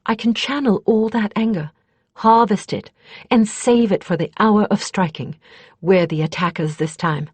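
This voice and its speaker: A woman's voice: medium pitch, normal speed, breathy edge, dry recording, voice pushed up, natural voice. A woman voicing a confident, fiercely determined female mage in an audiobook, explaining things earnestly and emphatically. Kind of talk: audiobook character dialogue.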